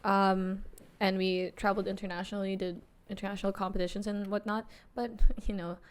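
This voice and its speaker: A woman's voice: medium pitch, little pitch movement, brisk, clean tone. A woman in her twenties from the Philippines, chatting casually as a podcast co-host and often searching for words. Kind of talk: casual chat.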